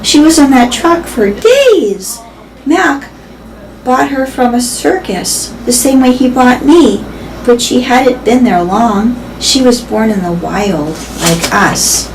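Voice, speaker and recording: A weary old mother elephant , read aloud by a woman, gentle and tired. The voice is lifted a little in pitch, telling a storybook tale over background noise. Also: expressive, takes it slowly, poor audio. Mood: sad, concerned.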